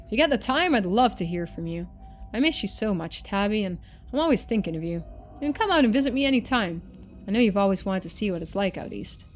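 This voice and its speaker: A woman's voice: medium pitch, animated, fast talker, faint music behind, natural voice. A woman voicing a loving, lonely middle-aged father in a story game, reading his letters in an earnest, folksy manner. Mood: tender.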